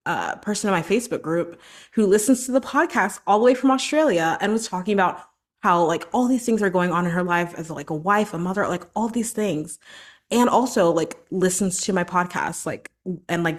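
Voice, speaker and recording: A woman's voice: on the low side, animated, brisk. A woman hosting her own podcast as a vocal coach, talking alone in a chatty, encouraging way that is full of "like" and "right?". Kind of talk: solo podcast monologue.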